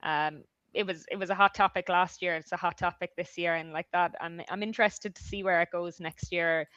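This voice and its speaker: A woman's voice: on the low side, fairly even intonation, a bit bright, dry recording. Thoughtful woman on dull, dry call audio. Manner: measured, thoughtful, slightly hesitant. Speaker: head of research, guest speaker. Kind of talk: webinar presentation and discussion.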